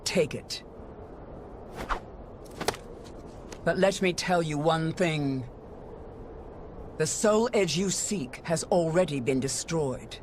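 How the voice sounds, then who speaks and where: A woman playing a proud, sardonic swordswoman in a video game, with a cool, mocking and deliberate delivery. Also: low voice, background noise. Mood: resigned, terse. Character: haughty, sardonic female swordswoman, proud and wary. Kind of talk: dramatic video game character acting.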